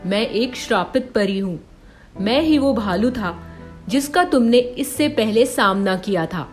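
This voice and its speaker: Casting: woman for enchanted lion-fairy, firm bargainer, earnest, mid-pitched, animated fairy tale. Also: measured pace, faint music behind, lightly acted. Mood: firm, pleading. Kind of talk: cartoon fairy-tale voice acting.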